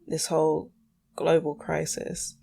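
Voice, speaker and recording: A woman's voice: deep voice, completely flat delivery, takes it slowly, gravelly, dark tone, slight background noise. A young adult woman hosting a solo podcast in a casual, candid, slightly exasperated rambling style. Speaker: podcast host.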